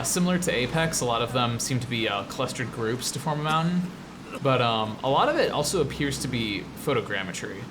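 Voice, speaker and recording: An American man chats through a video game in a medium-pitched voice. He rambles and explains with enthusiasm, casual throughout. Clear background noise and ambience sit behind him. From US Midwest. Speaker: show host, 3D artist. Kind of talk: casual game commentary while playing.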